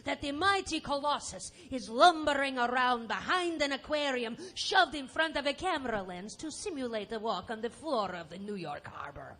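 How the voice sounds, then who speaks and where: A woman's voice: high-pitched, lively intonation, moderate pace, hardly any pauses, sharp tone, exaggerated voice. A woman playing a wry hostess who narrates a live B-movie parody in a dramatic, tongue-in-cheek way. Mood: mock-dramatic. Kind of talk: theatrical scripted narration, live audio theatre parody. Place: stage, live performance.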